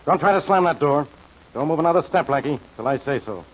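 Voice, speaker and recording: A stubborn, bullish police detective in a 1940s radio drama. His voice is fairly high and very animated, blunt and bossy, on a muffled recording with faint noise. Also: fast talker.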